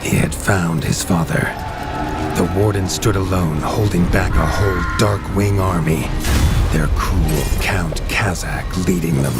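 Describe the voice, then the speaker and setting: A man giving grave, measured storybook narration for a game, recorded as a studio voice-over. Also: low-pitched. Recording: loud music underneath.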